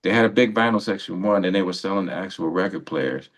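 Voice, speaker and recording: A man's voice: deep voice, quick pace. A man hosting a radio interview in casual, rambling chat, often breaking off and rephrasing his sentences. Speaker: radio show host.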